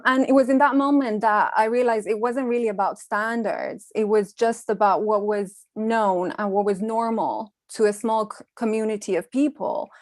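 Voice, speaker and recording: A young woman from Iran, a Farsi speaker, giving a measured, reflective conference talk with frequent ums. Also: medium pitch, no room sound, studio quality. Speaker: panel speaker, design researcher.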